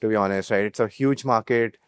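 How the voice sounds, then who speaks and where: A man's voice: lowish voice, a little fast, clean tone, no room sound. Young Indian man, dry close sound. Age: young adult. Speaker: startup co-founder being interviewed. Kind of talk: business interview.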